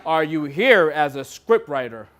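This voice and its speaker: A man playing a bossy, dismissive film director who talks down to people in a curt, measured, patronising way. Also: on the high side, expressive, a bit bright. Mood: stern, dismissive. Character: condescending, bossy male film director, dismissive and money-minded.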